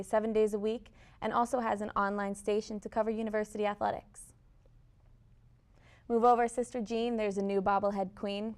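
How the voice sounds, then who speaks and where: A young adult woman working as a student news anchor, delivering scripted campus news. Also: mid-range voice.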